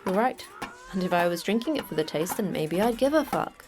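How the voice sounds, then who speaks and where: An actress playing a terse woman station manager in a sci-fi audio drama, brusque and dryly sarcastic in a deadpan way. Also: mid-range voice, fairly quick, background noise, natural voice. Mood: dry, sardonic. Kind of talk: audio fiction podcast acting.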